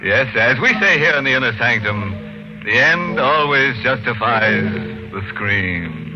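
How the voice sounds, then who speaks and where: A gleefully morbid host of an old radio horror show, mock-mournful and theatrical. His pitch swings widely and he takes his time. The recording is muffled and old, with music behind him. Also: lively intonation, measured pace. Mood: gleefully macabre. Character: ghoulish, gleefully morbid male horror host, full of puns. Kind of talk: 1940s radio horror hosting with macabre puns.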